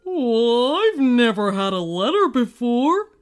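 A shy, anxious monster from a children's storybook, played by a woman. Speech is slow and hesitant, with a high-pitched, gentle worry to it. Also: very animated, faint music behind. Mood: shy surprise. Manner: timid, hesitant, worried. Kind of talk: storybook character voice.

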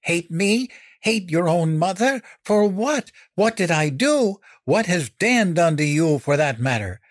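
A man's voice: sits high, big pitch swings, measured pace, no room sound, studio quality, voice pushed up, full character voice. A man voicing a furious, domineering mother in a dramatic audiobook, stern, indignant and emphatic as the character scolds a child. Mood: outraged.

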